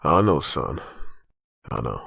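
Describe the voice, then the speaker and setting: Man acting intense father leading survivors, swinging pitch. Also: sing-song, takes it slowly, gravelly, fairly bright tone, slightly rough, no echo, clean studio sound, heavily acted. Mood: grave, subdued. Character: Rick, father and desperate survivor leader, stern. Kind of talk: comic dub voice acting.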